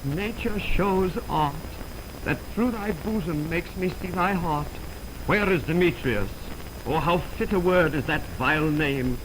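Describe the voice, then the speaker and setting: A man's voice: on the high side, unhurried, lightly acted. An actor playing an ardent young nobleman in earnest, declamatory verse for a 1920s radio Shakespeare play. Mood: passionate. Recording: noisy 1929 radio aircheck.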